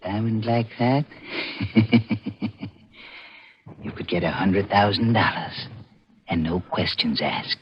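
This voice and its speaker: A man's voice: fairly low pitch, takes it slowly, long pauses, slightly rough, exaggerated voice. An actor playing a proud, courteous man in his seventies, a diamond cutter with an ominous streak, savouring his phrases theatrically in 1940s radio thriller style. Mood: musing, proud. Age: 70s. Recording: muffled, noisy vintage radio recording.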